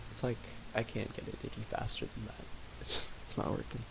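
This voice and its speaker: A young man talking quietly in a raspy voice, rambling with fillers as he thinks aloud while making music. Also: fairly low pitch, flat delivery, normal speed. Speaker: music producer streaming. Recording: home recording with audible hiss.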